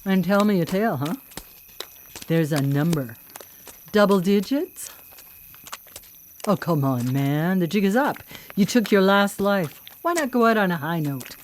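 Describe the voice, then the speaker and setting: Low-voiced, taunting female vigilante killer. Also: lively intonation, measured pace, long pauses, slight background noise. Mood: mocking, probing. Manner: mocking, cocky, coolly menacing. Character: vengeful, taunting female vigilante killer who hunts predators. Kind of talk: live radio drama acting.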